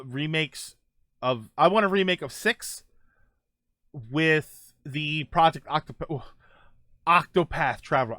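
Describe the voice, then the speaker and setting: A man's voice: on the high side, fairly bright tone, no echo. A man chatting casually as host of a live stream, rambling and joking as he answers viewers.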